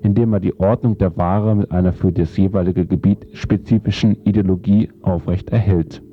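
Male narrator, low voice, formal declarative reading of scripted essay prose, lo-fi recording. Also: fairly even intonation, normal speed, music in the background. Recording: dull lo-fi sound.

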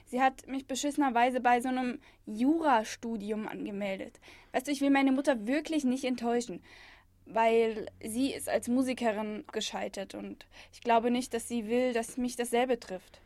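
Woman as a cool young songwriter, dry recording, highish. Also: moderate pace, darkish tone, dry recording, lightly acted. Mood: frustrated, resigned. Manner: casual, slangy, hesitant. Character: cool young female street songwriter, frustrated, longing for freedom. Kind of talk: German radio play dialogue.

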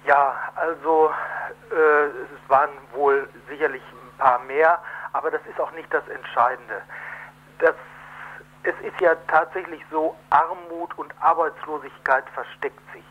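A man interviewed live over the telephone, speaking haltingly and emphatically, rephrasing as he goes. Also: fairly high pitch, steady tone. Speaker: protest co-organiser, interview guest. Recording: muffled telephone line on radio.

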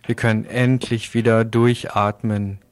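A man's voice: on the low side, flat delivery, a little slow. A man presenting a scripted radio news commentary in a sardonic manner, putting rhetorical questions to listeners.

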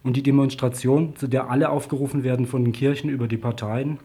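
A man's voice: medium pitch, fairly even intonation, quick pace, warm tone, breathy edge. A man reading a scripted political news report on the radio.

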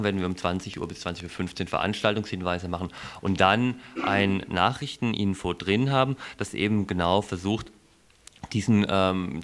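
Male radio editor, low voice, earnest, halting explanatory delivery. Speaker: radio editor presenting a programme. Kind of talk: explanatory studio talk. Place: radio studio.

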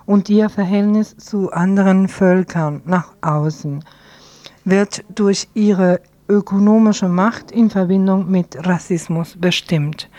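A woman radio presenter announcing programme items in a hesitant, halting way, searching for words. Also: medium pitch, dark tone, no room sound. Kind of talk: loosely scripted programme announcement.

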